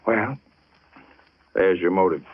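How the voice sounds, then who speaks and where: An actor playing a blunt, commanding investigator in an old-time radio drama. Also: male voice, deep voice, animated, slow, dry recording, full character voice. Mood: grave. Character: hard-nosed man investigator, commanding, blunt, questioning suspects. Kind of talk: 1940s radio drama acting. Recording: dull old radio recording.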